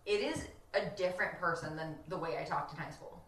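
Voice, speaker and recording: A woman's voice: sits low, fairly quick. Chatty young woman in a roomy echo. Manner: chatty, animated, fillers of "like". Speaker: podcast co-host. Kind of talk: casual chat.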